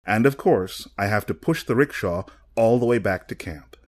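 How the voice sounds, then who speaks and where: A man's voice: on the low side, moderate pace, lightly acted. A man narrating a story and voicing a weary rickshaw driver who speaks with sorrowful resignation. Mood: resigned.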